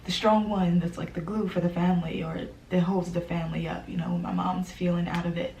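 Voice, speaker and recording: Soft, grieving young woman, often whispering. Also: on the low side, flat delivery, a little hiss or hum, low-quality recording. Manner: soft-spoken, hesitant, often drops to a whisper; fillers and trailing pauses. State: grieving, close to tears. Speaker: vlogger. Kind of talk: emotional personal vlog.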